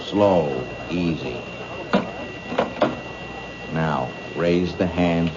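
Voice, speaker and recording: An actor playing a cold-blooded gunman in a 1970s radio drama, giving terse, threatening commands with deliberate control. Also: low voice, crowd noise. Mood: cold menace. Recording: dull, noisy 1970s radio recording.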